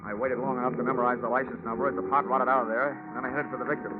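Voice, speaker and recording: An American actor playing a hard-boiled, wisecracking insurance investigator in a vintage radio drama, with clipped, dry delivery. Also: male voice, mid-range voice, flat delivery, rapid-fire, lightly acted. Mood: wry. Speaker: lead actor, narrator. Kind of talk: 1940s radio detective acting. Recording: tinny vintage radio recording, music behind.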